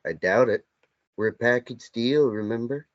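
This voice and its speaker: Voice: medium pitch, normal speed, close to an everyday voice. A reader playing a teasing ex-boyfriend who won't leave in a table read of a stage play. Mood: needling. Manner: needling, persistent. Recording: dull lo-fi recording.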